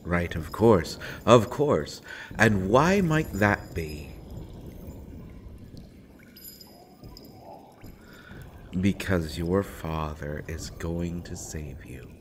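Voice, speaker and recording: Man playing a very deep, slow, sardonic dungeon villain. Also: expressive, a little hiss or hum. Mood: mocking. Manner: theatrical, mocking, drawn-out deliberate phrasing with pauses. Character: sardonic imprisoned male villain taunting a captive princess. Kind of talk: scripted audio roleplay acting.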